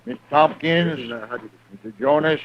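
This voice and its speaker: An actor playing a greedy, self-important American hotel owner in an old-time radio western, speaking in a pompous, insistent way. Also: male voice, fairly high pitch, unhurried, slight background noise, natural voice. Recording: muffled vintage radio recording.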